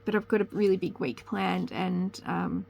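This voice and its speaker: A woman's voice: on the low side, moderate pace, vocal fry, a little mellow, slight background noise. A young woman with a slight cold chats casually to camera as host of a crafting channel, rambling and correcting herself as she goes. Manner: rambling, chatty, self-correcting. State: sniffly with a cold.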